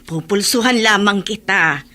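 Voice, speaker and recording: A woman's voice: medium pitch, unhurried, pushed and bright, a little hiss or hum. A woman with a Filipino accent playing a deferential older household helper in a radio drama, folksy and fretful. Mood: concerned, surprised.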